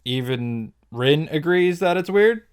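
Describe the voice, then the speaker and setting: A man's voice: on the high side, lively intonation, a little slow, slight background noise. A man narrating a comedic scripted recap as a video host, wry, playful and casually irreverent.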